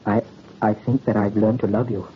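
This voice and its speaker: A man's voice: on the low side, level tone, moderate pace, close to an everyday voice. A man playing an ardent young noble bridegroom in a 1930s radio drama, earnest and melodramatic. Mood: tender. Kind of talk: 1930s radio romantic acting. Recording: muffled old radio recording, faint noise.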